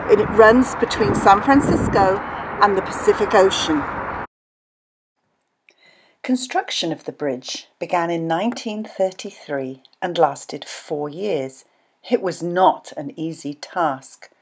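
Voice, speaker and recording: A middle-aged woman speaks with a soft rasp in her voice. She paces her words slowly and deliberately, in the explanatory style of a school librarian presenting to young children. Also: mid-range voice. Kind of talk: educational on-location narration for children.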